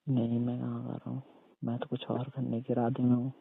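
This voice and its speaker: A man's voice: mid-range voice, monotone, fairly quick, gravelly, husky, no echo. A young man in an intimate, casual phone chat, teasing and coaxing with an insistent manner. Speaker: boyfriend on a phone call. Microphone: phone call. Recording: muffled narrow-band phone call recording.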